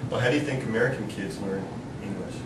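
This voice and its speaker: A man with a fairly low voice gives a chatty, joking lecture and keeps turning questions to the class. He is heard in a roomy classroom from some distance, with a steady rumble underneath. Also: fast. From New York. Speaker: seminar leader. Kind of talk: informal lecture to students.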